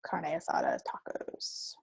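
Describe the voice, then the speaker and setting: A woman's voice: a little slow, darkish tone, dry recording, whispered. A woman co-hosting a podcast, chatting casually in a playful, wry way. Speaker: podcast co-host. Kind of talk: casual chat.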